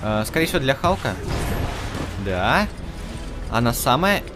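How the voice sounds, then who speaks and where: A man doing casual, slangy let's-play commentary, excitedly urging the game's characters on as he plays. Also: medium pitch, unhurried. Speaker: gaming channel host. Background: game music and effects.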